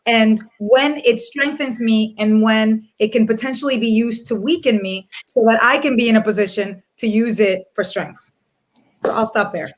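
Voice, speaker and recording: Earnest middle-aged woman, fairly high voice. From Dominican Republic. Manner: earnest, reflective, pauses and restarts often. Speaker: panelist, corporate people executive. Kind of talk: panel discussion.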